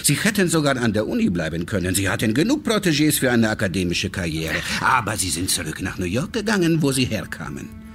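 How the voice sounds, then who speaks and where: Deep, swooping, suave shady tycoon. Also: male voice, huge pitch swings, fairly bright tone, a little hiss or hum. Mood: knowing, controlled. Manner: theatrical, deliberate, courteous with veiled menace. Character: suave, shady, fatherly rich businessman, controlling and courteous. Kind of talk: radio crime drama acting.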